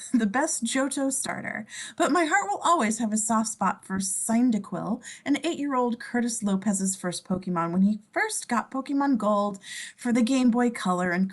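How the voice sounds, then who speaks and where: A woman with a fairly high, very lively voice narrates a script. She is playful and slangy, slipping from stage directions into casual joking asides. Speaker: scene description reader.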